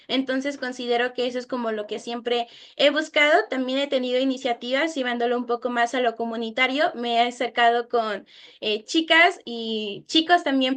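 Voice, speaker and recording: High-voiced young woman explaining earnestly. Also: fairly bright tone. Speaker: youth project guest. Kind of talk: informal panel presentation.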